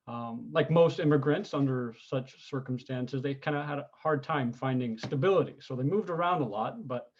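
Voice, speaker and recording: A man in his forties from California, speaking as an interview guest, telling his story in an unhurried, thoughtful way with many ums and restarts. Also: medium pitch, highs cut off. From United States, California. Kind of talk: conversational interview.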